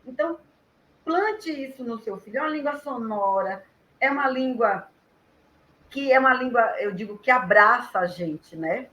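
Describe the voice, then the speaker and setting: A woman guest on a live chat, talking lively and emphatically as she gives advice. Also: fairly high pitch, moderate pace, poor audio. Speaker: guest storyteller and author.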